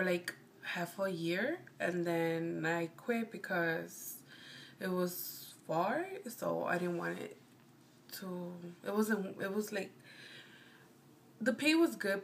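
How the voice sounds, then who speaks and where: A woman's voice: medium pitch, slight background noise. A woman in her twenties from Chicago with a Mexican American accent, chatting casually to camera in a rambling, meandering way and often correcting herself. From Chicago, United States. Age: 20s. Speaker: vlogger.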